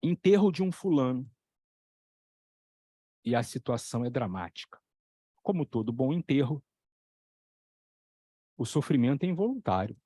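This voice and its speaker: A man talking as a psychoanalytic lecturer, telling stories with lively examples and repeating key phrases for emphasis. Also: medium pitch, normal speed, pauses often, no room sound, studio quality. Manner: animated storytelling, acting out voices in the examples. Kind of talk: explanatory talk on a video channel.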